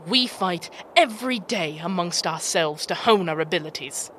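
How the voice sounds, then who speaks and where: A woman's voice: fairly low pitch, expressive, moderate pace, a bit bright, a little hiss or hum. A woman playing an ancient, proud female demon chieftain, fierce and vengeful, speaking with stern formality in a fantasy audio drama. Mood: defiant.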